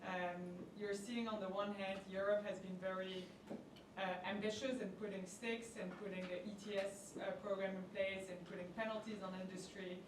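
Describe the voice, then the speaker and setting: Flat-voiced woman from Belgium, far from the mic. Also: medium pitch, moderate pace. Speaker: audience member asking a question. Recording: distant mic in an echoey hall, noisy.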